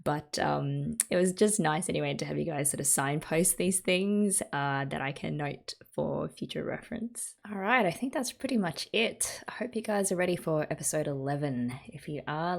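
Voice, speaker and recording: A woman's voice: low-pitched, a little slow, gravelly. A young Australian woman hosting an anime reaction video, chatting casually and giggling often as she reacts. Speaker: anime reaction channel host.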